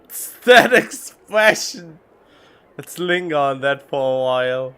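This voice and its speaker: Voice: medium pitch, lively intonation, takes it slowly, sharp tone, clean tone. A game streamer giving casual live commentary on a video game.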